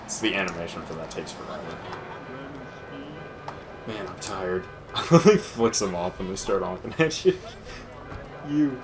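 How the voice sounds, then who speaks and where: A man's voice: low voice, expressive, unhurried, long pauses, music in the background. A man co-hosting a video game playthrough in casual, sweary, sarcastic banter. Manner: heavy swearing, sarcastic joking. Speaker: let's play co-host, gamer. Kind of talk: casual gameplay commentary. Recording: clear game noise behind voice.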